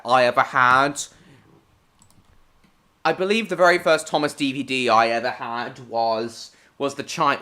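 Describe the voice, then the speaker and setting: Bright-voiced male live streamer. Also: medium pitch. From Hong Kong. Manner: answers viewers by name, rambling and repeating himself; bursts into swearing at game mishaps. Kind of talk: casual live-stream chat while gaming.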